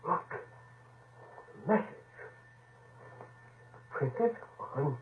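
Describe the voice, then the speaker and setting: A man's voice: fairly high pitch, expressive. Alarmed office man, tinny old radio. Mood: horrified dread. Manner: halting, melodramatic. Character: alarmed newspaper office man, increasingly horrified. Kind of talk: 1940s radio acting. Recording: tinny, noisy 1940s radio recording.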